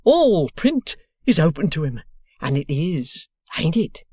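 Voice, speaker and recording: A reader voicing an excitable, uneducated retired old man who exclaims loudly, mangles his words and swings through a wide range of pitch. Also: takes it slowly, slightly rough, no room sound, full character voice. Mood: eager. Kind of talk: audiobook character reading.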